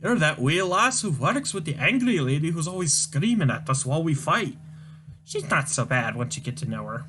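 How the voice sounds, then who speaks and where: A man doing a gruff Scottish demolitions man. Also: a little high, big pitch swings, moderate pace, bright, forceful tone, faint music behind. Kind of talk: reading a comic aloud, all voices.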